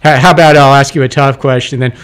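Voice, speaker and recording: A man's voice: mid-range voice, steady tone, fast talker, fairly bright tone, clear, resonant tone. Chatty male radio host. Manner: chatty, teasing questions. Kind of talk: radio interview. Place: radio studio.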